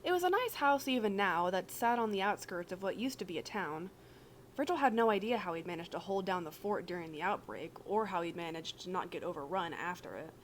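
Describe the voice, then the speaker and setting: A woman reading fan fiction aloud as a podfic narrator, in an expressive, casual way. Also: fairly low pitch, slight background noise. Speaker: podfic reader.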